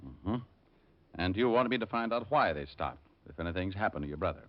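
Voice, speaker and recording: A muffled old radio recording of a cocky American private detective. His voice sits low and moves freely up and down. He delivers everything with wry, glib, sardonic ease. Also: animated, fairly quick. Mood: wry, businesslike. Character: wisecracking, cocky male private detective for hire. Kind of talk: 1950s radio drama acting.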